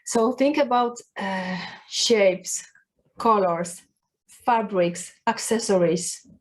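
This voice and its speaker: A woman's voice: medium pitch, takes it slowly, low-quality recording. A woman from Poland speaking English with a Polish accent, coaching viewers on personal branding in an earnest, encouraging way, with frequent fillers and restarts. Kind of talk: talking to camera, giving advice.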